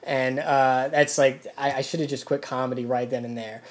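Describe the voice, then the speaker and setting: A man's voice: mid-range voice, level tone, moderate pace. Rambling man ranting about comedy. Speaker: comedian and podcast co-host. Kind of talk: casual comedy chat.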